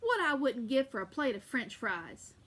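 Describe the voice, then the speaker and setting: A woman voicing a grumpy, hungry male giant who booms his complaints loudly. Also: sing-song, normal speed. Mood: grumbling.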